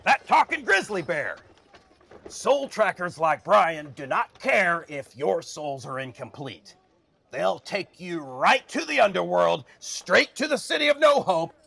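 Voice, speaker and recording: An actor playing a gruff, whiskey-loving escort of souls in an audio drama, sarcastic and put-upon, with a husky, gravelly voice. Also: male voice, high voice, big pitch swings, unhurried, pushed and bright, dry recording, full character voice. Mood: sarcastic, aggrieved. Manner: sardonic, exasperated, threatening. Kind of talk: dramatic audio fiction acting.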